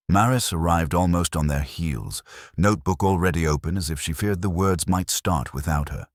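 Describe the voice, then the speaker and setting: Male narrator, very low register, lively intonation, dry close sound. Wry detective fiction read. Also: dry recording. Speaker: audiobook narrator. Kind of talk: scripted first-person detective story narration.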